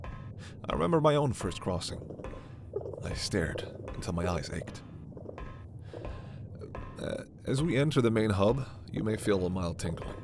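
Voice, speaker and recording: A man playing a gentle, authoritative alien doctor in a sci-fi ASMR roleplay, speaking in a measured, formal and reassuring way. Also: lowish voice, lively intonation, gravelly, noisy background. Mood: warm, guiding. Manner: measured, formal, reassuring, soft-spoken asides. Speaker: voice performer.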